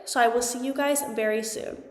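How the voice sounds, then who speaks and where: A woman's voice: fairly high pitch, fairly even intonation, brisk, slight background noise. Stuffy-nosed young woman podcast host. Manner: chatty, frequent "like" and "um" fillers. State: stuffy from a cold. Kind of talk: casual sports podcast talk. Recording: echoey room with long reverb.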